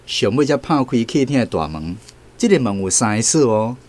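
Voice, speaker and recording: An actor playing a dutiful, patient grown son in a radio drama, coaxing and explaining with a Taiwanese accent. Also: male voice, medium pitch, very animated, moderate pace, noisy background, lightly acted. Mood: patient, reassuring.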